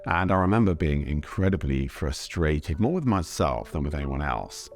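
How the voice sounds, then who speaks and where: A young Englishman with an English accent, telling his own story in measured, reflective scripted narration. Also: extremely low pitch, sing-song, soft background music. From Britain. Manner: thoughtful, measured storytelling, switching between English and German. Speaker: vlogger.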